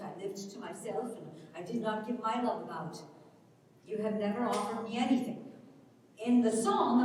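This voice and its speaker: A restless, proud young countrywoman, acted by a woman on stage, high-voiced and sweeping in pitch. She pleads and scorns with passion in the manner of a rural drama. The voice reaches the listener through a very echoey hall. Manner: impassioned, pleading, quick to scorn. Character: proud, restless young Irish countrywoman longing for great cities. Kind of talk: dramatic stage reading of a rural Irish play. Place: large hall at a live reading. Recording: echoey hall, distant mic, faint noise.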